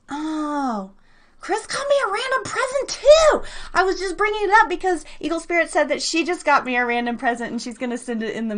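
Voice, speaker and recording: A woman's voice: high-pitched, a bit bright. An American woman hosting a live internet radio show in a casual, chatty, bubbly way, speaking affectionately to her listeners. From United States.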